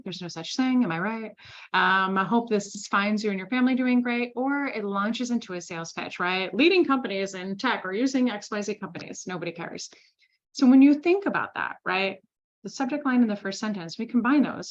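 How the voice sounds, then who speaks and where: A woman from Switzerland presenting a sales masterclass in a lively, conversational way, often asking "right?". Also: medium pitch, fairly quick, dull audio. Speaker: sales trainer.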